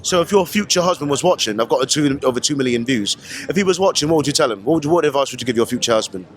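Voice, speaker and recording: A man's voice: medium pitch, lively intonation, racing. A man interviewing people at an outdoor festival, chatty and teasing, with slangy filler and repeated phrases. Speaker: street interviewer. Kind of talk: casual street interview.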